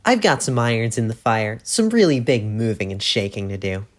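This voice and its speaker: A scheming young troll girl, played by a woman, talks very low and unhurried, with swooping intonation. She is bossy, teasing and condescending, an audio drama schemer. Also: sing-song, background noise. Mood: sly, cocky.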